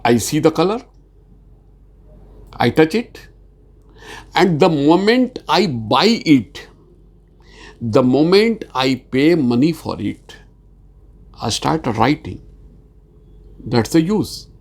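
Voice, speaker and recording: A man's voice: fairly high pitch, sing-song. A man from Bihar, India, with a Hindi-accented Indian English, giving an insurance training presentation, hesitant with many fillers and rising to stress key points. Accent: Indian English, Hindi-speaking. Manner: slow, hesitant delivery with frequent uh fillers.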